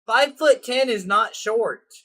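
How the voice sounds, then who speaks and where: A man's voice: very high voice, slow, fairly bright tone. A man with a Midwestern American accent hosting a casual late-night chat stream, blunt and joking. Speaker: streamer host.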